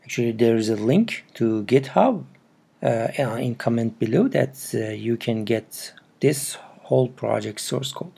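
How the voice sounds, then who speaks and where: Slow, gravelly man with a non-native English accent. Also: mid-range voice, mellow. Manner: halting, broken phrasing. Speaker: programming tutorial presenter. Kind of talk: casual step-by-step screencast narration. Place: indoors at a computer.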